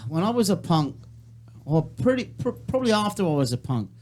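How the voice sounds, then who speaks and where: A man's voice: high voice, very animated, a little fast, a little mellow. A man hosting a casual talk show on an internet radio station. He speaks bluntly, swears often and presses his guests for straight answers. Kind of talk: casual studio chat.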